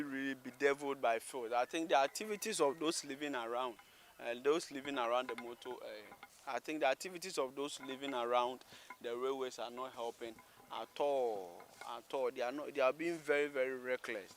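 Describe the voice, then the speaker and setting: A man's voice: medium pitch, brisk, slight background noise. A man with a Ghanaian accent giving spontaneous on-location commentary as a presenter, repeating words and phrases for emphasis. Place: outdoors beside a railway.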